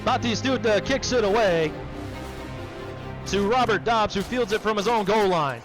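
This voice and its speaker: A man calling play-by-play for a simulated football game, brisk and excitable, joking about his own voice. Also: sits high, over music. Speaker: play-by-play commentator. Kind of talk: play-by-play sports commentary.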